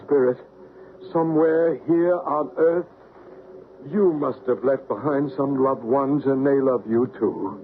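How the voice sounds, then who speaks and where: A man's voice: mid-range voice, takes it slowly, lightly acted. An actor playing an earnest, solemn man leading a séance in an old radio drama, intent and probing. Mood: urgent. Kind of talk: old-time radio drama acting and narration. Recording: narrow, noisy old radio recording.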